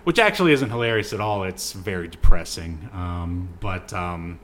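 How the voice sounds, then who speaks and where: A man's voice: sits low, normal speed, a bit bright, slight background noise. A man hosting a podcast in casual chat, with an easygoing, rambling way of talking. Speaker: podcast host.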